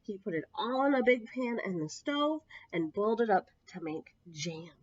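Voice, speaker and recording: A woman storyteller reading a picture book to young children, with expressive emphasis and drawn-out words. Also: mid-range voice, dry recording. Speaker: children's storyteller at a public library. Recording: dull lo-fi, close dry sound.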